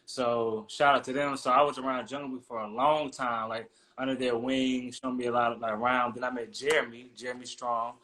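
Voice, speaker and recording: A man sits in as a guest on a relaxed interview. He talks in a laid-back, slangy way. He tells his story easily, as if chatting with friends. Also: medium pitch, brisk, hardly any pauses. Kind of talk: casual interview chat.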